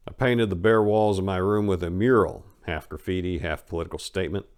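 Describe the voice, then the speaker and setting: A man's voice: low-pitched, steady tone, a little fast, a little mellow, clear, resonant tone. A man narrating a first-person memoir as a dramatic reading, with emphatic stresses and asides that trail off.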